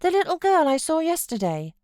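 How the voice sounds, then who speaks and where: A woman acts out a sickly young British boy in a storybook reading. The voice is raised high and sounds childlike, anxious and stubborn, with a plaintive, questioning way of talking. It is recorded close and dry. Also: sing-song, fairly quick, darkish tone, dry recording. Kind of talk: audiobook character voice.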